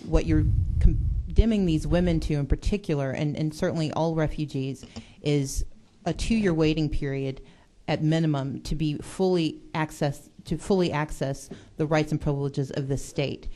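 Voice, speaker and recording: A woman's voice: deep voice, fairly even intonation, moderate pace, mellow. A woman legislator giving an earnest, persuasive speech in a committee hearing. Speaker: state legislator.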